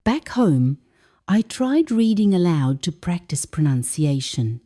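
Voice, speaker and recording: A woman, an English teacher, calmly reading a first-person story aloud as scripted narration. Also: lowish voice, slow pace.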